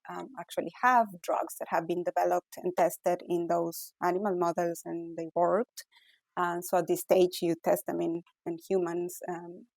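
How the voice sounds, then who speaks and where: A woman's voice: lowish voice, creaky, darkish tone, no room sound, low-quality recording. A middle-aged woman from Ecuador with an Ecuadorian Spanish accent, a physician scientist answering interview questions thoughtfully with many fillers and restarts.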